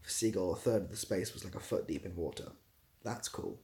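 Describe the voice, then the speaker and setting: A man with a fairly low voice chats informally on a livestream. He rambles as he replies to comments, often hesitating to find the right word. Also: a little fast, warm tone. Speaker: ASMR creator hosting a livestream; theatre assistant producer. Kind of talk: casual livestream chat, answering viewer comments.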